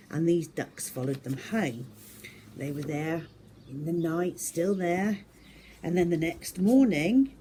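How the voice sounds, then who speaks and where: A woman reads to young children as a storyteller, with a slightly low voice and a slow, deliberate pace. She is expressive and emphatic, speaking straight to her listeners. There is faint noise behind her.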